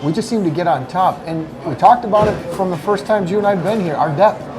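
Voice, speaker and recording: A man's voice: sits high, fast, low-quality recording. A man speaking as a college soccer coach, answering casually in a live sports interview. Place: busy restaurant eatery. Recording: crowd chatter behind the voice. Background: crowd chatter.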